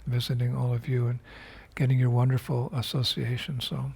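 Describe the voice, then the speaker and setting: Husky-voiced older man giving a reflective message. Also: medium pitch, monotone, a little mellow. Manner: unhurried, reflective, with frequent fillers and restarts. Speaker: spiritual teacher. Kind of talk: recorded video message.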